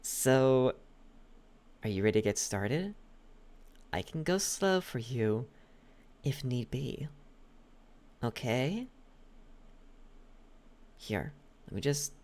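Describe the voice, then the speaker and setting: A man playing a possessive, lovesick demon butler in an intimate ASMR roleplay, coaxing with a halting delivery and lingering pauses. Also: medium pitch, lively intonation, darkish tone. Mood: smitten, intimate.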